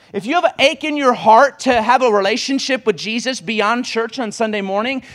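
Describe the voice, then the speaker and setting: A man. His voice sits very high for a man, and he drives his points hard, racing ahead and then punching words out louder. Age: 20s. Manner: impassioned, rapid exhortation with sudden bursts of volume. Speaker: preacher. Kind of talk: sermon.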